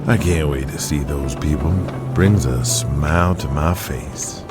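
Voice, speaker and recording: A man voicing a huge, laid-back wrestler in an audio drama, with a low, relaxed drawl. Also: unhurried, over music. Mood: easygoing.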